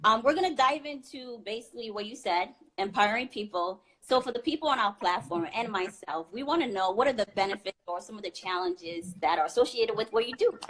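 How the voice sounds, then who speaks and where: A woman's voice: mid-range voice, animated, a little fast, few pauses, fairly bright tone, low-quality recording. A woman hosting an online interview, friendly and laughing often as she asks questions. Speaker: host, interviewer.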